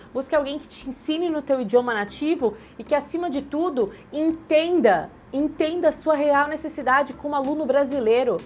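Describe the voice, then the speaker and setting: A Brazilian woman in her thirties, an English teacher talking straight to her viewers in a chatty, animated storytelling way. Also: sits high. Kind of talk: direct-to-camera sales talk.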